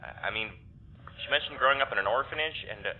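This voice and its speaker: A thin, phone-like signal carries a male actor as an uneasy young man. He is sardonic and halting, talking his way through a strange place in an audio drama, with faint noise behind him. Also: mid-range voice, lightly acted. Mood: unnerved, sardonic. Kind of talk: naturalistic audio drama acting. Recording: tinny phone-like filter with background noise.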